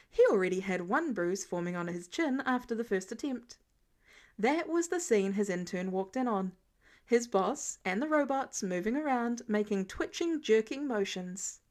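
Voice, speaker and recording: High-pitched woman narrating a story. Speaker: podfic narrator.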